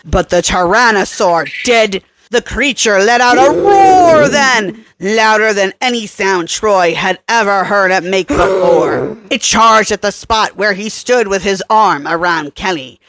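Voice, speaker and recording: A woman storyteller reading fiction aloud in an expressive, suspenseful, dramatic narrating style. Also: medium pitch.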